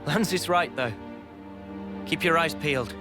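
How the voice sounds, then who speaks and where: A man voicing an earnest, alert young squad leader in a video game, expressive and urgent. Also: a little high, unhurried, lightly acted. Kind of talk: video game cutscene acting. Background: loud music.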